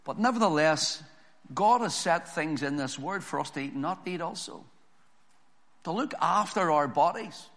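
A hoarse man preaching a sermon, reading scripture slowly and building to emphatic preaching. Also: fairly high pitch, animated, a bit bright. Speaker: pastor.

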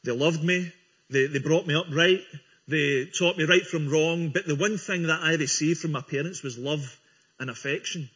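A man's voice: a little high, normal speed, a bit bright, slightly rough. Earnest Scottish man giving testimony. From Scotland. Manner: earnest, friendly, with small restarts. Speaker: guest speaker giving testimony. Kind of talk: church testimony. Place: church before a congregation. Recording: dull lo-fi recording.

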